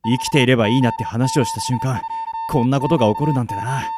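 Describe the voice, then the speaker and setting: A man playing a long-lived artificial caretaker in a radio drama, calm, devoted and casually philosophical. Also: medium pitch, quick pace, natural voice. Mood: excited, urgent. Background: music.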